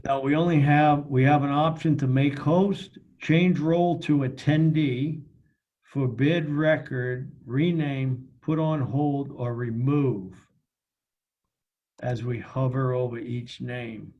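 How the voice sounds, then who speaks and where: An American man giving informal, measured and somewhat halting explanations in an online meeting. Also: mid-range voice, steady tone, lo-fi sound. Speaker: committee member and meeting host. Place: remote video meeting.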